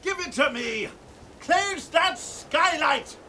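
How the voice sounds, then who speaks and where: Manic, high-pitched mad scientist. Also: male voice, lively intonation, slow, pushed and bright, far from the mic, slight background noise, heavily acted. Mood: frantic, impatient. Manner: imperious, feverish, barking repeated commands. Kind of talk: theatrical radio play acting.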